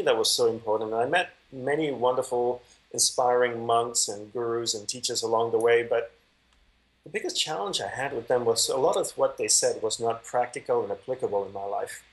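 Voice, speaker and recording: A man speaking at a middle pitch, unhurried and measured, as he answers interview questions over a video call. His telling is calm and even. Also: low-quality recording. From Malaysia. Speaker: podcast guest.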